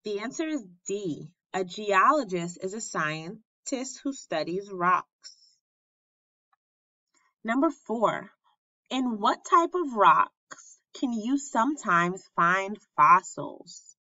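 Slow, bright woman reading aloud. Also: medium pitch, big pitch swings, no room sound, lo-fi sound. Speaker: elementary school teacher reading aloud. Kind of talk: children's read-aloud lesson narration.

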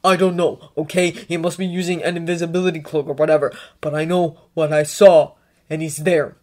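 A woman's voice: low-pitched, level tone, brisk. Woman doing a defiant, impatient teenage wizard boy. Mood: angry. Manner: impatient, shouting, defensive.

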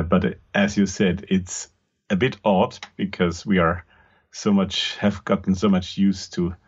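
A man in his fifties from Austria, speaking English with an Austrian German accent as a podcast guest, gentle and searching for his words. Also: sits low, slightly rough, dull audio. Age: 50s. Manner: gentle, searching for phrasing with many fillers. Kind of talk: casual interview talk.

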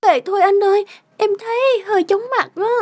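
A woman's voice: extremely high pitch, brisk, a little mellow. A woman voicing young women in a cartoon, whiny and coaxing. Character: young wife and scheming young mistress. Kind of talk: cartoon dubbing.

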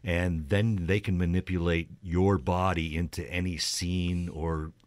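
A man's voice: deep voice, level tone, unhurried, no room sound. A man co-hosting a radio show, chatting casually and explaining things in an informal, hesitant way. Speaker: radio co-host. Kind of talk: casual music chat.

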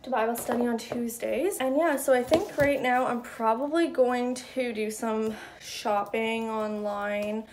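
A woman's voice: a little high, steady tone, a little slow, hardly any pauses, darkish tone, slight background noise. A young adult woman talking informally and rambling in a casual home vlog, recorded indoors on a handheld device at arm's length. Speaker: vlogger. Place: indoors in an apartment. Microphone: phone or camera at arm's length.

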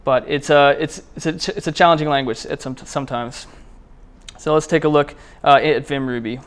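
Clear-voiced man giving a casual tech talk. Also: fairly high pitch, fairly even intonation, a little fast. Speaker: presenter at a programming meetup. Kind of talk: casual technical talk with live demo. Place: indoor meetup room, speaking before a small group.

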